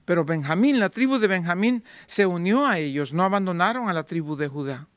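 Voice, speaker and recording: High-voiced man preaching a sermon. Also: expressive, brisk, smooth, no room sound. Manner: rhetorical questions, voicing doubting listeners. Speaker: pastor.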